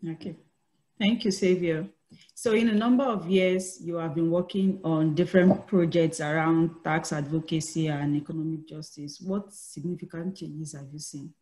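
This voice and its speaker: A woman's voice: low voice, fairly even intonation, normal speed, mellow, low-quality recording. A woman interviewer thanking her guest and asking short, polite questions.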